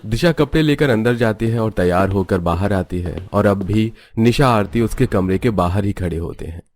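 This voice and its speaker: A man with a low-pitched voice narrates a family cartoon story. He reads scripted storytelling lines as the narrator. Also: normal speed, darkish tone.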